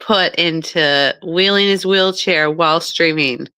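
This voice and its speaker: A woman co-hosting a live stream in a casual chat, loud and talkative and quick to laugh. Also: fairly low pitch, clear, resonant tone, no echo. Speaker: co-host. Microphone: streaming microphone.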